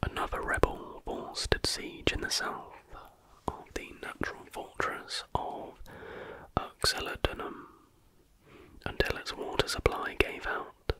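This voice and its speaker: Someone whispering an asmr reading, no voicing at all, crisp sharp breathy sound, careful and measured. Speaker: reader.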